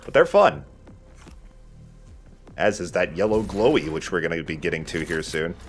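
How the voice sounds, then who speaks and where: A man's voice: low-pitched, expressive, moderate pace, soft background music. A man giving casual let's play commentary in a playful, self-deprecating way, swearing casually. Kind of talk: casual gameplay commentary with banter.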